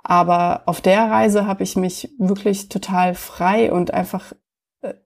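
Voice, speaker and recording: A woman telling her own story as an interview guest, reflective and hesitant, with many pauses and fillers. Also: mid-range voice, steady tone, vocal fry.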